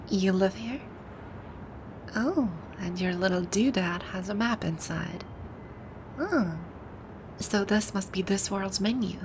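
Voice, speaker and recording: A woman's voice: mid-range voice, noisy background. A woman acting a haughty, bewildered video-game villainess in an ASMR roleplay, with pompous, commanding, old-fashioned phrasing. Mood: puzzled, doubtful.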